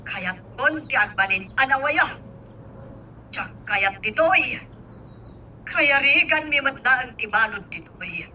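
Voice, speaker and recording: A very bright, forceful voice, fairly high and unhurried, a little off mic. It is a stubborn scheming mother in a radio drama. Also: expressive, heavily acted. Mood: insistent, impatient. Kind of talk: Ilocano radio drama acting. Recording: distant mic, faint background noise.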